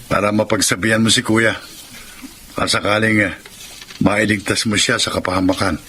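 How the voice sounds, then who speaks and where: An actor with a Filipino accent playing a worried, tentative husband in a radio drama, speaking haltingly. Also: male voice, fairly low pitch, flat delivery, pushed and bright, a little hiss or hum. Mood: grave. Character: hesitant, worried husband and brother-in-law. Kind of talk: Tagalog radio drama acting.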